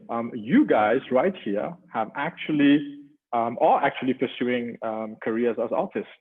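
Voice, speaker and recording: A man's voice: medium pitch, slightly rough. A man moderating a panel webinar over a video call, chatty and personal, with frequent ums. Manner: conversational, many ums, warm personal asides. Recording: tinny phone-like audio.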